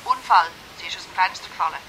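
An actress playing a businesslike, insistent doctor's receptionist in a radio play, speaking briskly and matter-of-factly. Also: female voice, fairly low pitch, expressive, bright, forceful tone. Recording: faintly noisy old radio play recording.

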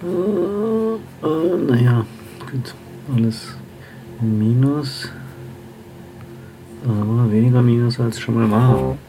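A man's voice: medium pitch, lively intonation, slow, pauses often, poor audio. A man talking casually to himself in short, clipped remarks. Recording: music behind the voice. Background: music.

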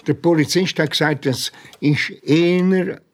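A man's voice: on the high side, steady tone, a little slow, natural voice. A man playing an older, grandfatherly amateur detective, thoughtful and easily amazed, in a children's radio play.

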